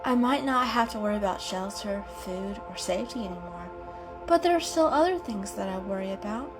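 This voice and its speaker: A woman voicing a gentle, anxious female cat with an earnest, hesitant manner in a dramatic storybook reading. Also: medium pitch, expressive, a little fast, close to an everyday voice. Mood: embarrassed. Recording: music behind the reading.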